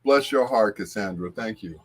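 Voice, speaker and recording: A man's voice: lowish voice, moderate pace. A man hosting a casual livestream, talking to viewers in a rambling, conversational way. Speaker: livestream host.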